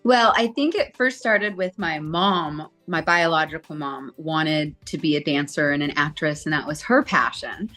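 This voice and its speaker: Chatty, lively woman telling her story. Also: deep voice, normal speed, few pauses, faint music behind. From Southern California. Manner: chatty, animated, acts out quotes. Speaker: interview guest, singer. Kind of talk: casual storytelling interview.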